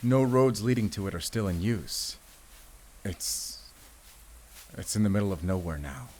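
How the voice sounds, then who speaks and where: A man playing a gruff, guarded but protective werewolf hunter with a German accent, speaking deliberately in a cinematic audio drama. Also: low-pitched, animated, darkish tone, slight background noise. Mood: measured storytelling. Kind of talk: cinematic audio roleplay acting. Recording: music behind the voice.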